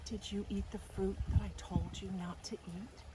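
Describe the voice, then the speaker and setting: A woman's voice: medium pitch, steady tone, normal speed, lightly acted. A woman voicing a loving, grave and fatherly male God from a children's storybook, speaking solemnly and tenderly. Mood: pained questioning. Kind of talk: children's storybook character reading. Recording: faint outdoor background noise.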